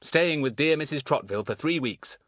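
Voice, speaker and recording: A man's voice: fairly high pitch, animated, moderate pace, a bit bright, no echo, voice pushed up. A man voicing a clever, boastful schoolboy in a children's audiobook, chatting with self-satisfied relish. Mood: gleeful, boastful.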